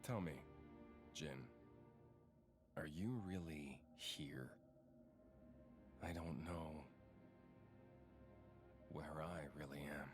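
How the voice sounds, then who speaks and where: A brooding villain in a game cutscene, his voice extremely deep. He speaks slowly and with weight, over clear background music. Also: heavily acted. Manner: slow, deliberate, weighty. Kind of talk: dramatic game cutscene dialogue. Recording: music under the dialogue.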